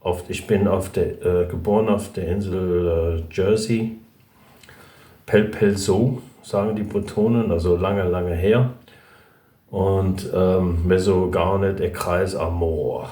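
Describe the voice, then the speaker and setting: A man's voice: low-pitched, steady tone, slow pace, mellow. A middle-aged man from Brittany, chatting casually in a vlog, hesitant and rambling with many fillers and restarts. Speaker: language vlogger. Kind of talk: casual chat about learning a language. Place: at home with a dog nearby. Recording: echoey room.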